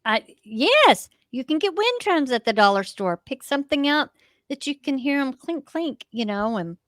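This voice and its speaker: A woman's voice: sits high, big pitch swings, moderate pace, no echo, studio quality. A middle-aged American woman co-hosting a show with casual, folksy, unscripted chat peppered with mild swearing. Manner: folksy, salty-tongued. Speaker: co-host of a paranormal show.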